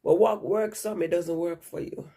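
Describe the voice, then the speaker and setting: A woman's voice: lowish voice, fairly even intonation, normal speed, mellow. A woman vlogger chatting casually and ramblingly to her viewers while she has a stuffy nose from a cold. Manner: rambling, chatty, repeats herself.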